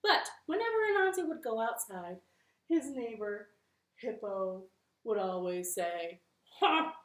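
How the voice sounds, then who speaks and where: A woman library storyteller reading a children's story at home, animated, with playful asides to her listeners. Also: medium pitch, unhurried, roomy sound. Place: living room at home.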